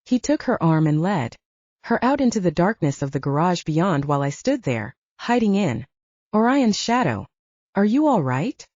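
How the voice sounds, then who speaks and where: A woman's voice: lowish voice, very animated, moderate pace, darkish tone, no room sound. A woman narrating an audiobook in a dramatic, suspenseful scripted style, on slightly muffled audio.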